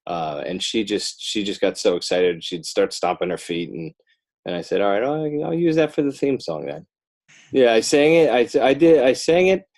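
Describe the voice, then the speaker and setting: American man, slightly low voice, wry and self-deprecating, loose conversational interview guest. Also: normal speed, darkish tone. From northern New Jersey, United States. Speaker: podcast guest, animator and children's author. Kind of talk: casual joking interview chat.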